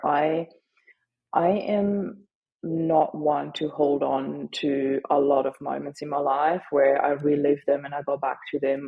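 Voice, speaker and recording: A middle-aged woman whose first language is German, sharing her story earnestly and haltingly in an interview. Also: sits low, creaky, warm tone, breathy edge, poor audio. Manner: earnest, halting, with frequent fillers. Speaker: guest, grief advocate and author.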